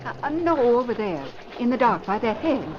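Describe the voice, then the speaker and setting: An actress playing a sassy, wisecracking newspaper employee in an old radio drama, slangy and brash. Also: female voice, a little high, sing-song, moderate pace. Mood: curious. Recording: noisy muffled old radio recording.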